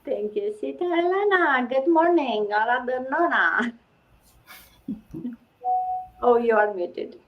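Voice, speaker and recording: A woman's voice: on the high side, lively intonation, slow pace, pauses often. Woman hosting a video panel, lo-fi sound. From Romania. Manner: friendly, chatty, laughs lightly. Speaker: host. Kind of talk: casual online panel hosting. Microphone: video call. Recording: dull lo-fi sound.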